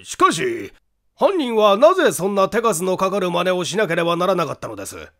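A man's voice: high voice, huge pitch swings, brisk, fairly bright tone, pitched above the performer's own voice, heavily acted. A man voicing a doubtful, startled police inspector who questions probingly in a mystery audiobook. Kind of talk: Japanese mystery audiobook acting.

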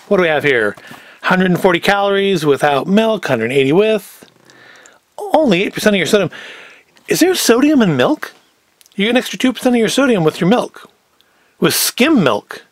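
A man's voice: high voice, big pitch swings, moderate pace, pauses often, no room sound. A middle-aged man giving a casual, unscripted product review as host, with wry, sarcastic asides. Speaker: host of a food review show.

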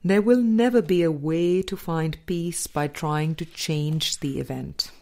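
Slow-paced woman reading an audiobook. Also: fairly low pitch, a little mellow. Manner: measured, deliberate phrasing with pauses. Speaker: audiobook reader. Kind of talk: scripted audiobook narration of a spiritual dialogue.

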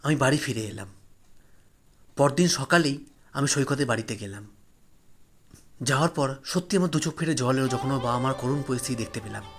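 A man's voice: mid-range voice, brisk, long pauses, fairly bright tone. A man narrating a horror story in the first person, solemn, dramatic and emotive.

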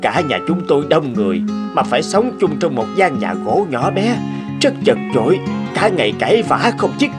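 A harried old family patriarch from a cartoon folktale, exasperated and complaining. His fairly high voice swoops up and down with music behind it. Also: big pitch swings, moderate pace. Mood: pleading. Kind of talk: Vietnamese animated folktale dubbing.

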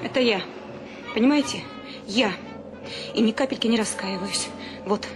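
A woman plays a headstrong, defiant young girl working aboard a ship in a radio drama. Her voice is high-pitched. Music plays behind her. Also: normal speed, natural voice. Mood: defiant, confessing. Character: defiant, headstrong young ship's barmaid, captain's niece. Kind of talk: Russian radio play acting.

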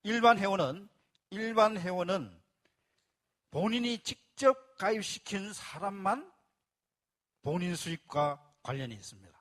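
A man's voice: high-pitched, lively intonation, a little slow, long pauses, fairly bright tone. A man presenting a membership plan to a live audience, explaining step by step and repeating key phrases. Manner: speaks to a live audience and asks for applause.

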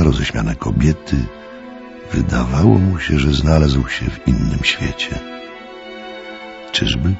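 A man's voice: extremely low pitch, gravelly. A man narrating a scripted story for a radio drama in a dramatic storytelling style. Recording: slightly dull audio with music underneath.

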